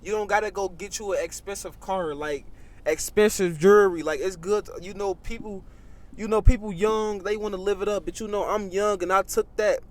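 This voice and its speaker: A man's voice: sits high, steady tone, a little fast. An eighteen-year-old man, talking animatedly in a casual podcast chat, tagging lines with "bro" and "you know what I mean".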